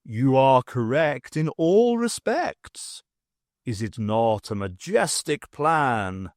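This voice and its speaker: A man's voice: mid-range voice, sing-song, slow pace, dry recording, studio quality. A man voicing a pompous, stately male alien leader in an audiobook, haughty and grand. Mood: grand, self-satisfied. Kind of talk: audiobook character voice.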